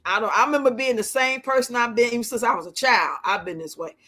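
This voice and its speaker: Woman, late fifties, livestream host: high bright voice, blunt, colloquial, chatty. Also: fast talker. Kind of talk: casual livestream chat with viewers.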